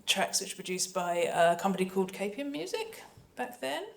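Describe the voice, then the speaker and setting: A woman's voice: mid-range voice, very animated, brisk, creaky. A woman speaking on a panel as a composer and sound designer, chatty, hesitant and often interrupting herself. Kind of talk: panel talk about creative work.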